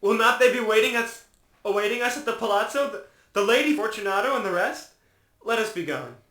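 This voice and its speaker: A man playing a drunken, desperate nobleman in a gothic audiobook, halting and theatrical, in an echoing space. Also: sits high, expressive, pushed and bright. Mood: feigned mirth, pleading. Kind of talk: dramatic gothic audiobook acting. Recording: roomy, echoing sound.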